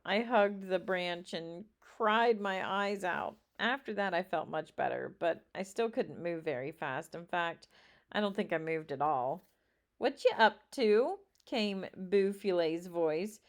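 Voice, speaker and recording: A woman reading a children's story aloud expressively, with dramatic drawn-out emphasis. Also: lowish voice. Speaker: storybook reader.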